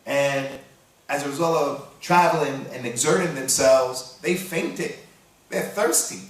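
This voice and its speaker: A man from the United States giving a sermon, reciting Arabic verses quickly and then explaining them in English. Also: mid-range voice. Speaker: Islamic preacher. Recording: very echoey hall, voice from far off.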